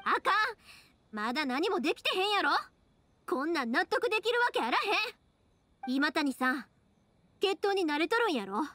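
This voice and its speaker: A woman's voice: very high voice, very animated, moderate pace, pauses often, fairly bright tone, dry recording, full character voice. A woman playing a frank, stubborn young fireworks maker with a Kansai accent in a Japanese anime game. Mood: determined.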